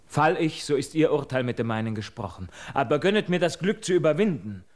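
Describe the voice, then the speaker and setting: An actor playing a bold, proud young prince, declaiming heroic verse earnestly in a classical radio drama. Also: male voice, a little high, animated, brisk, lightly acted. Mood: proud, resolute.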